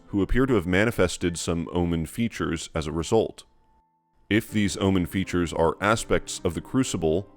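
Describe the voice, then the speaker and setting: A man with a low voice narrates a scripted explainer, laying out each point in a measured, deliberate way. He speaks close to the mic, with quiet music behind him. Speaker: lore video narrator.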